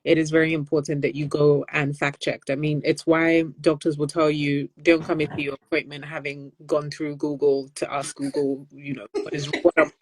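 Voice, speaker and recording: A woman speaking as a guest panellist, explaining things conversationally in a measured, careful way. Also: deep voice, level tone, husky, low-quality recording. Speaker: guest panellist, health advocate.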